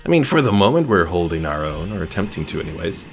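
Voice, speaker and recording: A man's voice: low voice, big pitch swings, fast talker, gravelly. A man giving casual livestream commentary on a game and talking with his chat as host. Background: game music.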